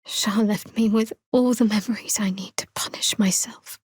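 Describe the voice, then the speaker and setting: A woman's voice: medium pitch, fairly even intonation, normal speed, darkish tone, slight background noise, close to an everyday voice. A woman playing a guarded, wry young woman cleric in a video game, with dry, sardonic, clipped delivery. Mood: sombre.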